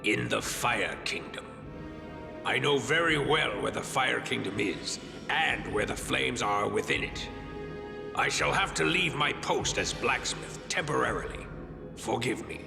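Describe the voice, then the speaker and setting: An actor playing a gruff, loyal veteran blacksmith in a fantasy game, with a gravelly voice and solemn, formal delivery. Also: male voice, mid-range voice, lively intonation, a little slow. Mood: solemn, resolute. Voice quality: gravelly, creaky. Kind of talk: fantasy video game voice acting. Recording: echoey hall reverb, music behind.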